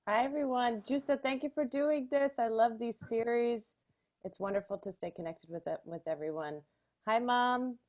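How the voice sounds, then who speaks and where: A woman's voice: fairly high pitch, normal speed, darkish tone. A woman from the United States, a guest who hosts a travel show, chatting in a friendly, upbeat way on a video call. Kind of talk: casual video call chat.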